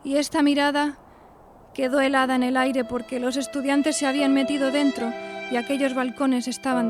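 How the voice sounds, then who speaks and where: Radio play lead: actress as high-pitched, coquettish, self-dramatising young single woman, music underneath. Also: natural voice. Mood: tragic, solemn. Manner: melodramatic, confiding, coquettish. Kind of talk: theatrical first-person radio drama monologue.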